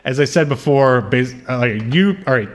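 A man's voice: medium pitch, lively intonation, moderate pace. Man chatting casually, hesitant delivery. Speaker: podcast co-host. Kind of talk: casual chat, reviewing a TV show.

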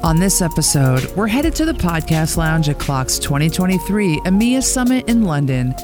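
Casting: female podcast host, low register, chatty and playful, faint music underneath. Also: very animated, normal speed. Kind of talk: casual conversational interview.

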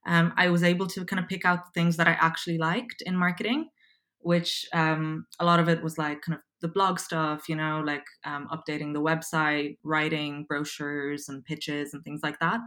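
A woman's voice: low voice, level tone, moderate pace, a bit bright. A woman in her twenties with an Irish accent, chatting casually as an interview guest and filling her talk with likes and ums. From Poland. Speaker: guest, copywriter.

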